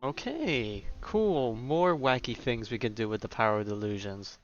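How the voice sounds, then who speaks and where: A man's voice: mid-range voice, expressive, moderate pace, muffled recording, soft background music. A man live-streaming a game, giving casual, wry reactions and muttered asides, with some swearing.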